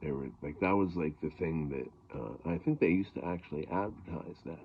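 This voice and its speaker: Gravelly low-voiced man, tinny sound. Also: brisk. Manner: rambling, many fillers and throat clearing. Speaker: blues harmonica player. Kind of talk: casual talk to camera.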